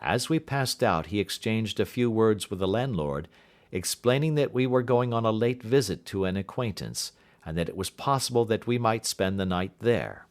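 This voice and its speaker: A man's voice: fairly low pitch, animated, normal speed. A man reading scripted literary narration as an audiobook narrator.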